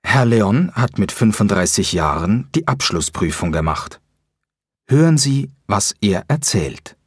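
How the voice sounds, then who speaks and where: A man's voice: lowish voice, big pitch swings, unhurried, slightly rough, dry recording, studio quality. A man reading out the instructions for a textbook listening exercise in a formal announcing manner. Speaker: textbook audio narrator.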